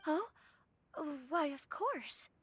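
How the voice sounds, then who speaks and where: A woman's voice: high voice, lively intonation, drawn-out words, a bit bright, heavily acted. An actress playing a genteel young noble lady in a video game, speaking politely and expressively. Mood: impressed, polite.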